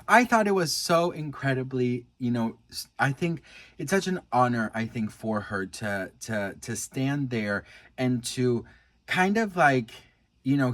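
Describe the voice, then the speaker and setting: An American man talks to his viewers like a vlogger with celebrity news to share. His manner is gushing and earnest, casual and familiar. His voice sits at an average pitch, and the sound is dry with hardly any room in it. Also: fairly bright tone, no echo. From United States. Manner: gushing, earnest, addresses viewer as best friend.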